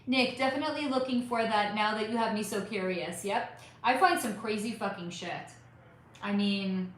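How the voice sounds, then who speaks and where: A woman's voice: medium pitch, normal speed. Rambling woman in a very echoey room. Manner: rambling, emphatic, swearing, answering chat questions. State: voice going hoarse. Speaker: psychic channel host reading live chat. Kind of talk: casual live-stream chat.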